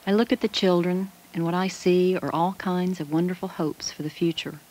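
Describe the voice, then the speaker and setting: A woman's voice: lowish voice, fairly even intonation, moderate pace, dark tone, natural voice, poor audio. Earnest hopeful schoolteacher played by a woman. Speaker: teacher. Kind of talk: scripted educational film monologue. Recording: 1980 16mm film soundtrack.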